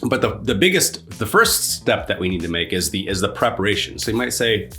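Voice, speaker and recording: A man's voice: on the low side, big pitch swings, a little fast, bright, forceful tone, faint music behind. A man with a congested, stuffy voice hosting his own sobriety channel, chatting casually, laughing at himself, full of fillers and restarts. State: congested from allergies. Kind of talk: casual solo video talk.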